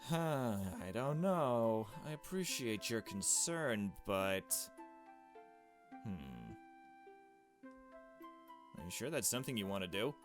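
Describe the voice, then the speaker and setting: A man voicing a mild, flustered gentleman from a visual novel, with fussy, effusive politeness. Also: fairly low pitch, big pitch swings, slow, pauses often, soft background music. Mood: doubtful. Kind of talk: visual novel dialogue read aloud.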